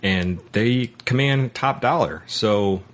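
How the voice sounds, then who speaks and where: A man hosting a podcast in a casual movie discussion, speaking in halting, hesitant phrases with repeated word starts. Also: fairly low pitch. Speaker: podcast host.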